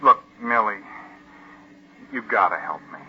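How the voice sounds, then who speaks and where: An actor playing a dissolute, hungover young wastrel in a 1940s radio drama, peevish and desperate. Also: male voice, fairly low pitch, expressive, a little slow. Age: young adult. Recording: muffled, noisy vintage radio drama recording.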